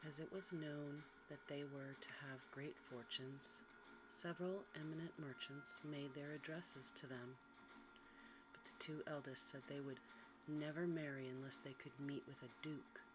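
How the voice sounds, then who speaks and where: A hushed, soft-spoken narrator reads a bedtime tale in ASMR style. The voice sits at a medium pitch and stays quiet and gentle. Clear background noise and ambience run behind the reading. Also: fairly even intonation, unhurried. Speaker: narrator, storyteller.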